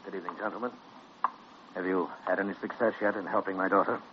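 A man's voice: low voice, steady tone, quick pace, lightly acted. An actor playing a polite, formal middle-aged father in an old-time radio drama. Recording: dull, noisy vintage radio recording.

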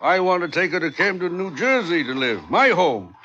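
An actor playing a gruff, blustering but doting American ship captain in old radio drama style. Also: male voice, high voice, big pitch swings, moderate pace, pushed and bright, heavily acted. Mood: doting, insistent. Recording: dull, band-limited old radio recording.

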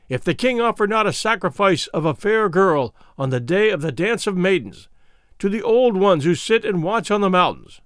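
A man's voice: sits high, a bit bright, dry recording, clean studio sound. A man playing a ruthless king who speaks in a grave, commanding way.

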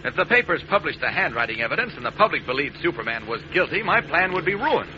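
A man's voice: on the high side, big pitch swings, fast talker. A man acting an earnest young reporter with a secret identity in a 1940s radio drama. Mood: grave, determined. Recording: muffled noisy vintage radio broadcast.

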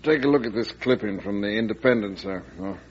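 An actor playing a cautious, loyal army general in a classic radio drama, advising an old friend frankly and respectfully. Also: male voice, lowish voice, a little fast, husky. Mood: cautioning. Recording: muffled old radio recording.

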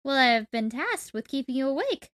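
A woman reading a story and voicing a cheeky, brisk little talking cricket. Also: high voice, sing-song, fairly bright tone, dry recording, voice pushed up. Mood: brisk, cheery.

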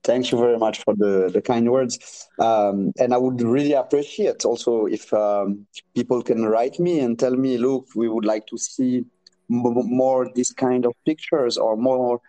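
A man's voice: medium pitch, steady tone, measured pace, dark tone, dry recording, low-quality recording. A man in his twenties from Congo with a French accent, speaking as a guest on a remote call, hesitant and searching for words with many restarts. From Democratic Republic of Congo. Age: 20s. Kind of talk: casual interview.

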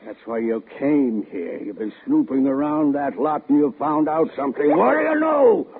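An actor playing a menacing, evasive neighbour with a guilty secret in a 1950s radio drama. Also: male voice, a little high, big pitch swings, normal speed. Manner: threatening, falsely jovial when cornered. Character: menacing neighbour man, evasive killer hiding his crime. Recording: tinny, noisy 1950s radio recording.

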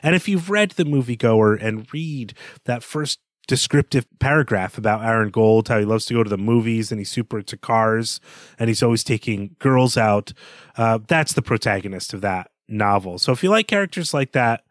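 A man's voice: mid-range voice, moderate pace, no room sound, studio quality. A man co-hosting a podcast, talking through literary analysis in a studious, explanatory way. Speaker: podcast co-host.

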